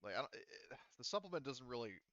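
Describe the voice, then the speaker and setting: A man's voice: mid-range voice, sing-song, fast, a bit bright, dry recording, muffled recording. A man running a tabletop roleplaying game as game master, explaining casually in a hedging way and repeating himself.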